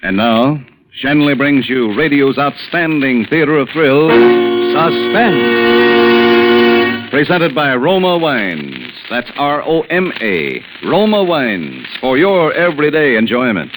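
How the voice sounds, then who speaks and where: A man's voice: mid-range voice, big pitch swings, slow, over loud music. A man announcing a 1940s radio drama with an emphatic, showmanlike delivery. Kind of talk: 1940s radio show introduction. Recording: noisy vintage radio recording.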